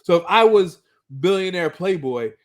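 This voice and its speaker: A man's voice: sits high, expressive, moderate pace. A man co-hosting a fan podcast, casually recapping and commenting, often repeating words as he searches for phrasing. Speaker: co-host of a fan rewatch podcast.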